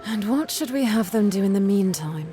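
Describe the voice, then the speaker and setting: A woman performs a shrewd ship's captain in an audio drama. She speaks at a middling pitch with measured authority, as one used to being obeyed. Music sits behind her voice. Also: lively intonation, mellow, close to an everyday voice. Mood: wry, probing. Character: commanding, shrewd female sea captain.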